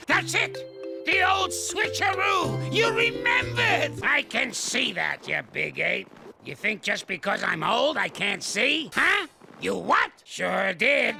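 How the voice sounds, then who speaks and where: A man's voice: high-pitched, heavily acted. An actor playing a grumpy, bossy old male ape in a TV cartoon, barking insults and grumbling at everyone. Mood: grouchy. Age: older. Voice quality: gravelly old-man voice. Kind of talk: TV cartoon voice acting. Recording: cartoon soundtrack with music. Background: music score.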